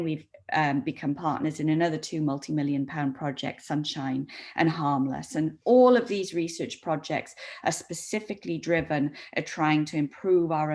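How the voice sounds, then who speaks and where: A woman in her forties, raised in Saudi Arabia, with a Welsh accent, giving a measured academic talk with frequent ums and repeated words. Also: sits low. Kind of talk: recorded academic presentation.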